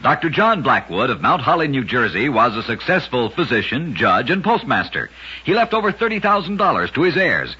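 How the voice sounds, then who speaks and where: A man tells strange tales in the style of a vintage radio host, with a very raspy, forceful voice. His delivery is emphatic and dramatic, swinging widely in pitch. The recording is dull and old. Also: sing-song, fairly quick. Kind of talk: scripted vintage radio storytelling of odd facts.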